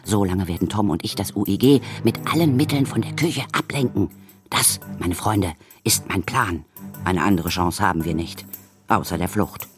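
A woman's voice: very deep voice, moderate pace, music in the background. An actress playing a bossy, fearless older woman who hunts ghosts in a children's audio drama, resolute and emphatic. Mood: solemn, instructing.

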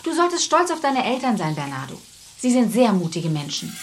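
A woman's voice: fairly high pitch, very animated, a little fast. An encouraging old woman in a radio drama. Her intonation rises and falls freely as she coaxes and gives earnest advice, with faint noise behind her. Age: older. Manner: coaxing, earnest. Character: kindly, encouraging old woman, boy's confidante. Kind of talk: radio play acting.

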